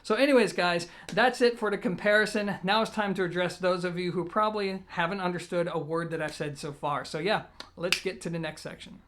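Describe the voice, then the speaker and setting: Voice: mid-range voice, fairly even intonation, brisk, fairly bright tone, slight background noise. A video host presenting an audio gear review in a semi-scripted, informal way, addressing viewers directly and leaning on the opening words of each point.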